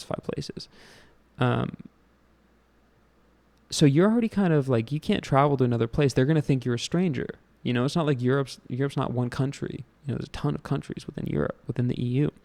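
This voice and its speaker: A man's voice: medium pitch, moderate pace, vocal fry, a little mellow. A young American man hosting his own show, rambling casually with lots of fillers, restarts and swearing. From United States. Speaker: show host. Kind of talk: casual solo rant.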